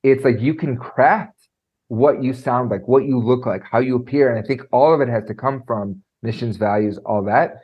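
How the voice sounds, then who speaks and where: A man coaching on presentation skills, talking in an animated way and filling his speech with "like" and "right?"; he has a trained high singing voice. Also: normal speed, breathy edge, muffled recording. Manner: animated, lots of fillers like "like" and "right?". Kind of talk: conversational podcast interview.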